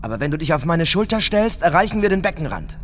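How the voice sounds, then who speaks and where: A ghost hunter's loyal partner, high for a male voice and very lively. He urges briskly and practically, as in an adventure radio play. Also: soft background music. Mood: practical, encouraging. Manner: brisk, urging. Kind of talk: radio adventure drama acting.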